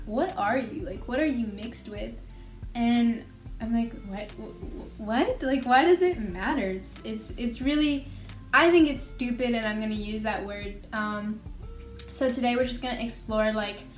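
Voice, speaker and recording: Young female host, chatty and bubbly, higher voice, roomy echo with faint music behind. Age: young adult. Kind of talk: casual vlog chat. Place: home room. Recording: echoey room with faint music.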